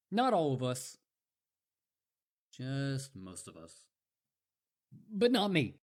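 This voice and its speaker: Man playing an animated, eager, boastful wolf boy. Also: medium pitch. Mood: smug, sweet. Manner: boastful, rambling, stammering when flustered. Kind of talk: roleplay audio drama addressed to listener.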